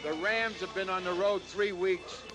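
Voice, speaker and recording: A man's voice: high voice, fairly even intonation, moderate pace, over music. A man analyzing a football matchup on a studio panel, confidently predicting the result.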